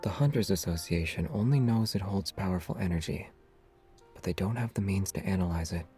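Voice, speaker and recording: A calm, secretive young hunter from a story game, with a deep, mellow voice. He is reserved and nonchalant, taking his time over soft music. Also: brisk, breathy edge. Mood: calm, guarded. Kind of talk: scripted game dialogue.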